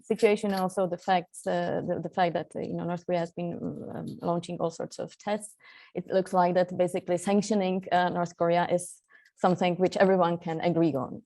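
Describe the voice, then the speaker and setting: A woman's voice: lowish voice, flat delivery, fairly quick, dark tone. A woman from Central Europe speaking as a guest expert on a webinar panel over a video call, with many fillers and restarts.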